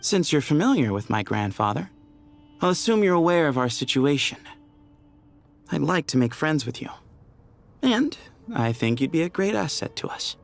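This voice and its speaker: Playful, gravelly young desert heir. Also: male voice, fairly high pitch, big pitch swings, normal speed, soft background music. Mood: friendly, persuasive. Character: friendly, streetwise young male desert heir. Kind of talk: video game voice acting.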